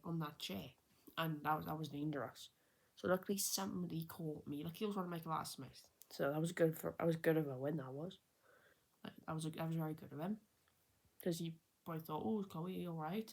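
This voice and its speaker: A woman's voice: low voice, vocal fry, husky. A woman in her early twenties telling a personal story in a rambling, unscripted vlog, with many restarts and self-corrections. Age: 20s. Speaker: vlogger. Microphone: camera set a little far away.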